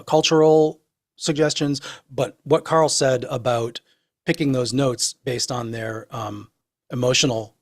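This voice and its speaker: A man with an average-pitched voice chats about music theory as a co-host. He is reflective and wordy, working his thoughts out aloud in a conversational way.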